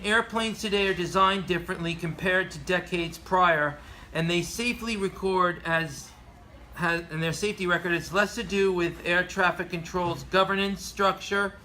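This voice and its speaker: Bright, higher-pitched man giving earnest commentary. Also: slight background noise, low-quality recording. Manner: earnest, occasionally stumbling over scripted words. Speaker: aviation commentator presenting a show segment. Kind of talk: prepared commentary read aloud with informal asides.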